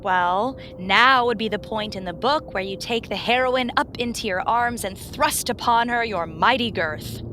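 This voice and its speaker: A woman's voice: a little high, a little slow, few pauses, bright, forceful tone, slight background noise, poor audio. A woman playing a sassy, exasperated romance novelist in a romantic comedy radio play, sarcastic and emphatic. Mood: flirty, teasing.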